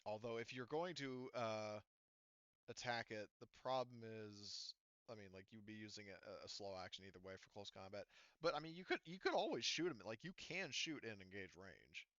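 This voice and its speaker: Low-voiced man running a tabletop game. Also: moderate pace, a bit bright, no room sound, dull audio. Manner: hesitant, thinking aloud. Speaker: game master. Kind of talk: casual tabletop game rules talk.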